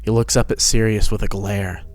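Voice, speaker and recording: A man's voice: on the low side, level tone, normal speed, darkish tone. A man narrating a fan-fiction story as a scripted dramatic reading.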